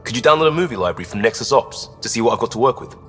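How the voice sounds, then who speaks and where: A man's voice: mid-range voice, expressive, rapid-fire, fairly bright tone, in a large hall, soft background music, close to an everyday voice. An actor playing an easygoing, wry male starship crew member in a video game, with a casual, dry delivery. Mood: wry, upbeat. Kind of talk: scripted video game acting.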